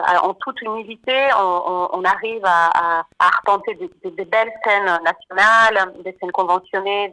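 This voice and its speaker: A woman's voice: mid-range voice, bright, forceful tone, poor audio. A woman from Argentina, singer and songwriter of a band, talking as a radio interview guest, hesitant with many fillers and repeated words. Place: radio studio.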